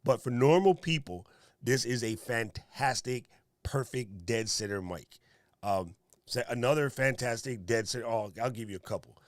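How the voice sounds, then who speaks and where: Deep radio-voiced male co-host, punchy and emphatic, dry close mic. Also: normal speed, dry recording. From Hawaii resident. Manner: emphatic, punchy, laughs at his own points. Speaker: streaming show co-host. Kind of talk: casual tech discussion.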